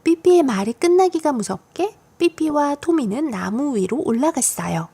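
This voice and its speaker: A woman's voice: sits high, darkish tone, husky. A woman reading a scripted children's story as an audiobook narrator.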